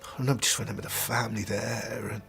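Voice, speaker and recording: A middle-aged man with an Irish accent gives a personal testimony as a bereaved relative, solemn and sometimes halting. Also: lowish voice, level tone, creaky, sharp tone, soft background music. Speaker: bereaved brother giving a family statement. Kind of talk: personal testimony, partly recalled and partly read.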